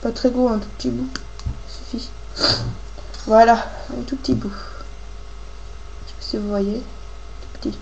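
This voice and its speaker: Woman, craft tutorial host, higher voice, congested with a cold, informal and halting, noisy homemade recording. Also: fairly even intonation, creaky, highs cut off. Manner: informal, halting, thinking aloud. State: has a cold, coughing. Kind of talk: casual hands-on tutorial, talking while working.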